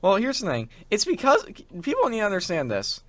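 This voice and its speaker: A man's voice: sits high, wildly swooping pitch, a little fast, fairly bright tone, dry recording. A man hosting a show with casual, rambling chat about games and internet culture, acting out imagined quotes as he goes. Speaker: show host. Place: small radio studio.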